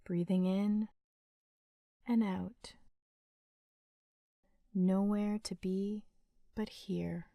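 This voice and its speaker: A woman leading a guided sleep meditation in a gentle, soothing, unhurried manner with long pauses. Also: medium pitch, little pitch movement, gravelly, no room sound, studio quality.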